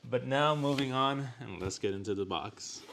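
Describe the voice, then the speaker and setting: A man with a medium-pitched voice hosts an unboxing video. He talks in a casual, conversational way, as a reviewer explaining a product to viewers. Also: normal speed, vocal fry, fairly bright tone, slight background noise.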